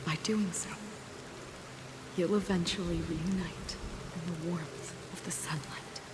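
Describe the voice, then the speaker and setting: An actress voicing a calm, mysterious woman in a game, speaking softly and solemnly as a guide for lost souls. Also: on the low side, noisy background, natural voice. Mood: solemn, soothing. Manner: measured, soft, hushed, solemn. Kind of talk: scripted game dialogue. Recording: game audio under loud music.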